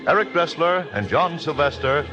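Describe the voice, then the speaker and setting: A man's voice: fairly high pitch, lively intonation, normal speed. An American man announcing a scripted radio program in a formal 1940s style. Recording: dull 1940s radio recording, organ music.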